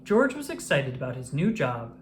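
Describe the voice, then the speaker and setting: A narrator reading a children's picture book aloud in an expressive, measured storytelling style. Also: medium pitch, a little hiss or hum.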